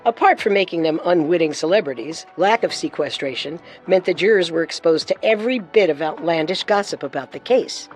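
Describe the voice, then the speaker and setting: A woman's voice: on the low side, animated, fairly quick, hardly any pauses, faint music behind. A woman narrating a scripted true-crime story as host.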